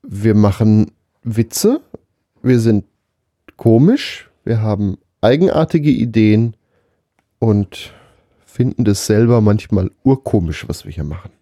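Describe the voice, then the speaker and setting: Low, mellow man podcast host. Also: big pitch swings, slow, no room sound, studio quality. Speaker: podcast and radio co-host who runs the studio. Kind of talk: casual chat.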